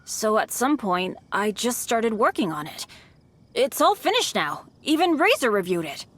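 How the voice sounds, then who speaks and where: Bright-eyed, animated boy adventurer. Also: on the high side, normal speed. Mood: sheepish. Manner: boyish, excitable, dramatic storytelling. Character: earnest, eager, unlucky teenage boy adventurer. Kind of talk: video game voice acting.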